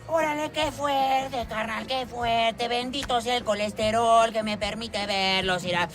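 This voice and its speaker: A man voicing an excitable, gushing cartoon egg, effusive and drawling. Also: very high voice, a little slow, over music. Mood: awed, affectionate.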